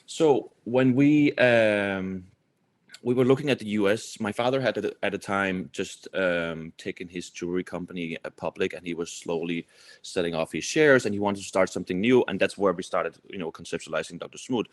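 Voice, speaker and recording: Low-voiced man, dry close sound. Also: moderate pace, no room sound. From Copenhagen, Denmark. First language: Danish. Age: 30s. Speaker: company executive, podcast guest. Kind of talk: conversational interview answers.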